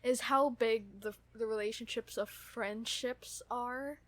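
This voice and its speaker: A woman's voice: on the high side, fairly even intonation, slow, no echo. A woman co-hosting a podcast, chatting casually and gushing as a fan. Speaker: podcast co-host.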